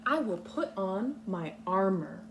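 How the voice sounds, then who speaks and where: A woman with a mid-pitched, noticeably creaky voice leads a read-aloud lesson for small children. Her phrasing is simple, patient and instructional, like a kindergarten teacher's. A clear background noise and hum sit behind her. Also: lively intonation, slow pace. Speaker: kindergarten teacher reading a picture book aloud.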